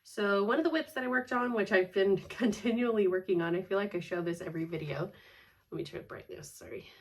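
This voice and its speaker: A woman's voice: medium pitch, a little fast. A woman in her thirties with a congested, stuffed-up nose, chatting casually at home about her cross-stitch, rambling and laughing often. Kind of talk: casual chat.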